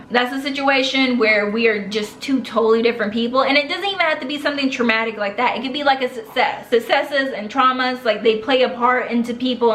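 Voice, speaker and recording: A woman's voice: fairly high pitch, fairly bright tone. A young adult woman giving writing tips in a casual, rambling way, full of slang. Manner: chatty, rambling, uses slang. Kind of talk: casual talk to camera. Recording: echoey room, faint noise.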